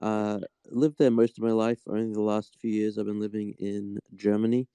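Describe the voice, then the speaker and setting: A man in his thirties talks in a low, gravelly voice that stays smooth and mellow. He answers at an easy pace and stops often to think. The sound is dry and close, with no room echo. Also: moderate pace. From Australia. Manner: relaxed, thoughtful, many ums. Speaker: guest. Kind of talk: casual interview.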